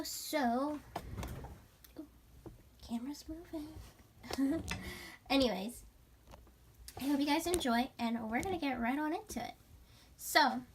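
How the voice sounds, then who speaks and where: A young woman vlogger with a raspy, stuffed-up voice, chatting bubbly and casually through a shopping haul. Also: on the high side. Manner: bubbly and chatty, many fillers. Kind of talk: casual haul vlog chat.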